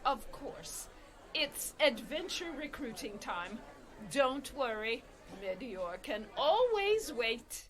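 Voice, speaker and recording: A woman playing a gentle, devout and very polite cleric in a comedy radio play, earnest in every phrase. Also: a little high, very animated, takes it slowly, soft background music. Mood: eager, polite.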